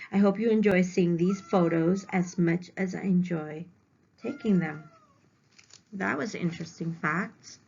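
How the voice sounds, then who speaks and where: A woman with a lowish voice reads aloud in the manner of a schoolteacher. She pauses to define words in simple terms for children. The sound is slightly muffled and lo-fi, like a homemade lesson recording. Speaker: elementary teacher reading aloud to students. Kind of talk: read-aloud lesson with simple word explanations. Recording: dull, lo-fi home recording.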